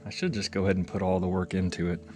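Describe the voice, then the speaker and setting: A man with a deep, low-pitched voice talks his way through a hands-on repair job. He is casual and folksy, rambling as he thinks aloud like a hobbyist video host. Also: level tone, very fast, a little mellow, faint music behind. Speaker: audio repair vlogger.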